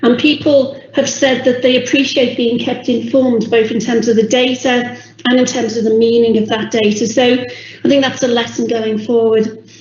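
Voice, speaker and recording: A woman from a public health team briefing an online council meeting, speaking in a measured way with many ums while losing her voice. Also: fairly high pitch, level tone, darkish tone. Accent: English. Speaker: public health officer presenting. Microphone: video call. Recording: echoey, dull video call audio.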